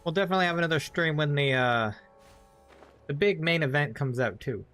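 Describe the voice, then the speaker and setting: A man giving casual, low-key live commentary as he streams a video game. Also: brisk, a bit bright. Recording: game music behind the voice. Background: video game music.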